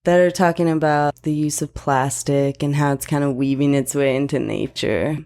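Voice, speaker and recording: Podcast guest, young woman, low voice with gravelly fry, easygoing and quick to laugh. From grew up between Thailand and Prince Edward Island. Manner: relaxed, laughs often between thoughts. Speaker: guest artist. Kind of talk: casual podcast chat.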